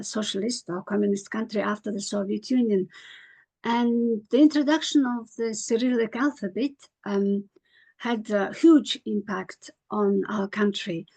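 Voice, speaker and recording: A middle-aged woman from Mongolia, giving a hesitant conference talk over a video call, with many pauses and fillers. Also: mid-range voice, gravelly, darkish tone. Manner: hesitant, many ums and uhs, self-correcting. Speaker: conference speaker. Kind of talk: online conference talk with slides.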